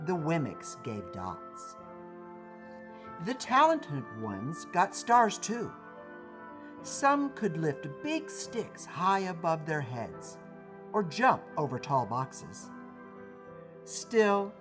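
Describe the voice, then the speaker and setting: Male children's story reader: animated intonation, unhurried and emphatic, music underneath. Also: a little high. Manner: deliberate, emphatic storytelling with dramatic pauses. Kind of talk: expressive scripted children's story reading. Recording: music behind the voice.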